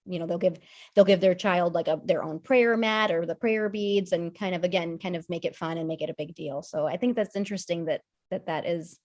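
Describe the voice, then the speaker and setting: A woman with a slightly low voice speaks as a podcast guest in a loose, conversational way. She is in her thirties and thinks aloud, circling back with fillers, restarts and small asides. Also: fairly quick, darkish tone. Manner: thoughtful, rambling, many fillers and restarts. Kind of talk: casual conversational discussion.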